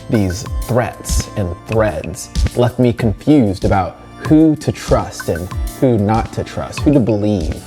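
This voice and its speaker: A man hosting a literature channel, narrating a scripted video essay with dramatic pauses and emphasis. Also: lowish voice. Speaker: host of a horror literature channel. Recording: music bed behind the voice. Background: background music.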